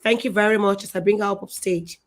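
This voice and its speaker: A woman's voice: mid-range voice, steady tone, fast talker, slight background noise. Effusive woman hosting a live online show. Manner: effusive and emotional, with repetition and restarts. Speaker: show host.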